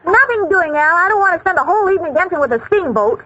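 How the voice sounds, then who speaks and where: A woman's voice: very high voice, lively intonation, quick pace, muffled recording. An actress playing a sweet, scatterbrained young woman in a 1940s radio comedy, gushing and breathless, on a scratchy old broadcast with heavy hiss.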